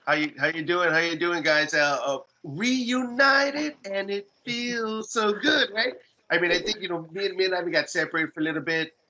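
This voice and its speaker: A man's voice: high voice, steady tone, moderate pace, pushed and bright, lo-fi sound, a little hiss or hum. A man in his late forties co-hosting a podcast, joking and teasing in casual chat. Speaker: podcast co-host.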